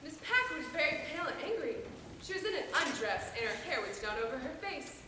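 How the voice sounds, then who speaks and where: A woman's voice: high-pitched, very animated, fast talker, bright, forceful tone, exaggerated voice. A woman on a school stage playing a prim, indignant witness who gives formal testimony. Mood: prim, affronted. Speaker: student stage actor. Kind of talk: high school stage play acting. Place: large indoor stage, far mic. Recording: reverberant noisy hall, distant mic.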